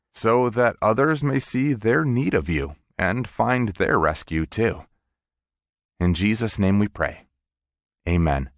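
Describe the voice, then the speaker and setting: A man reading a devotional and prayer in a calm, measured, reverent way. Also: on the low side, no room sound. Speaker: devotional reader. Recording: dry studio voice over faint music.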